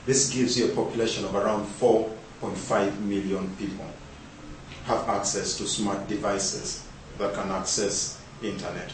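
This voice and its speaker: Man from Uganda, conference speaker, lowish voice, heavy echo in a large hall. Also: steady tone, unhurried, slight background noise. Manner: rhetorical, repeated questions. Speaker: conference presenter. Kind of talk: conference presentation. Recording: echoey hall, speaker far from mic.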